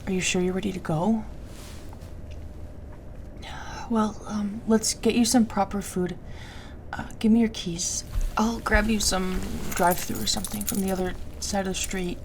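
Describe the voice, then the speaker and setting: A woman playing a distressed, apologetic but stubborn young woman in an intimate audio roleplay, stammering and halting. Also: medium pitch, steady tone, slight background noise, close to an everyday voice. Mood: hurried, caring. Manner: halting, stammering, breathy asides to a partner.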